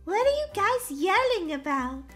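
A woman's voice: very high-pitched, sing-song, measured pace, a bit bright, soft background music, heavily acted. A woman voicing a breezy, know-it-all young girl in a children's game roleplay, in an exaggerated, matter-of-fact manner. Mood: distracted.

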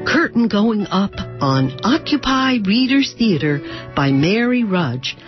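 A woman's voice: mid-range voice, big pitch swings, slow. A woman announcer reading a scripted radio introduction. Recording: dull lo-fi radio recording. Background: music.

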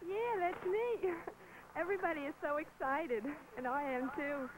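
A woman's voice: high-pitched, normal speed. A young woman, chatting casually with family on a 1950s recorded phone call. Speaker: soldier's relative on a call. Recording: faint noise, old 1950s archive recording.

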